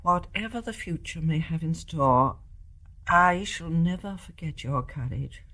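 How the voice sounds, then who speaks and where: An actress playing a devoted, elderly English mother in a radio drama, speaking in a gentle, measured, genteel way. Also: low-pitched, expressive, exaggerated voice. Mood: earnest, compassionate. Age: older. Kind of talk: stage-play radio drama acting.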